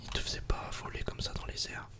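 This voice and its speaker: A man whispers a calm, casual ASMR game commentary in a breathy, unvoiced murmur, close to the microphone. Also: fast talker. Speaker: ASMR gaming video creator.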